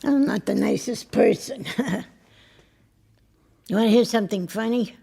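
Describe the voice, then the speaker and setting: An actress playing a blunt, worldly older married woman in an intimate audio drama, with a husky, creaky voice and a wry, halting manner. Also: a little high, warm tone, full character voice. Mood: wry, confiding. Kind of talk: intimate two-person audio drama acting.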